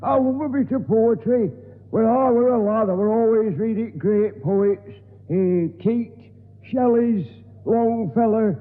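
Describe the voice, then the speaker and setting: Doddery old northern english man, husky, slow, bumbling, tinny old radio comedy. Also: sits high. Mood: chatty muddled. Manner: slow, bumbling, muddles words. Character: doddery, genial old junk-shop man muddling his words. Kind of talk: 1960s radio sitcom acting. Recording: tinny echoey 1960s radio recording.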